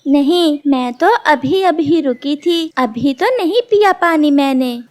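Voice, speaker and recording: A woman voicing a gentle, anxious cartoon mother bird who speaks in an earnest, pleading way. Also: sits high, lively intonation, takes it slowly. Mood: tender, worried. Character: gentle, anxious mother bird devoted to her little daughter.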